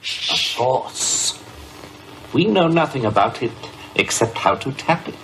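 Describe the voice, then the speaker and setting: An actor playing a grave, pompous occultist who speaks with fervent, menacing emphasis in a radio drama. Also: male voice, mid-range voice, sing-song, fairly bright tone, a little hiss or hum, exaggerated voice, rough recording. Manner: slow, portentous, emphatic. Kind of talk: radio drama acting, on-air debate.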